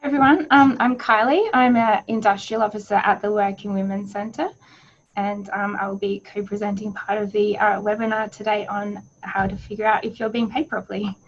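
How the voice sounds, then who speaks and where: A woman introduces herself as a co-presenter on a panel. Her mid-pitched voice is very even, and the call audio is a little dull. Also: moderate pace. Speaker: industrial officer, presenter.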